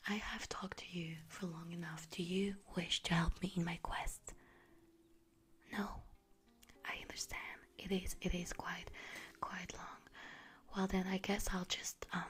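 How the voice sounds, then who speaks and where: A woman playing an anxious, scatterbrained mother goddess in an ASMR roleplay, speaking in a halting, rambling, breathy whisper and repeating herself. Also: fairly low pitch, little pitch movement, fairly bright tone, full character voice. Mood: resigned, wistful. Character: anxious, scatterbrained Greek harvest goddess, mother seeking her daughter.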